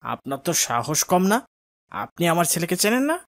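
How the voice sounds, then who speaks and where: An arrogant councillor in a children's cartoon, acted by a man. His voice sits a bit high for a man. He scolds and threatens like someone used to being obeyed. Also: big pitch swings, normal speed, fairly bright tone. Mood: indignant, threatening. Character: arrogant, bullying local councillor and angry father. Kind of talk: cartoon dialogue acting.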